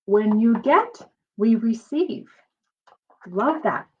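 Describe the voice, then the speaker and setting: A high-pitched woman reads a picture book aloud like a teacher talking to small children. Her delivery is slow and swings widely, with drawn-out emphasis and explanatory asides. The sound is slightly dull and lo-fi. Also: animated. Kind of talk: expressive children's storybook read-aloud with asides.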